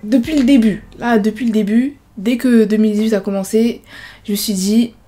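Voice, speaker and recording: A woman's voice: a little high, fairly even intonation, moderate pace, warm tone, faint music behind. A woman in her early twenties telling her story in a chatty storytime vlog, full of fillers. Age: 20s. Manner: chatty, many fillers like genre and voilà. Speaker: YouTuber.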